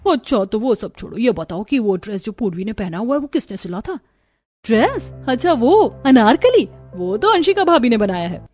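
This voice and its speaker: A woman voicing characters in a cartoon family story with animated, emphatic delivery. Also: fairly high pitch, moderate pace, faint music behind. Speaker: voice actor for several cartoon characters. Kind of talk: cartoon dialogue acting.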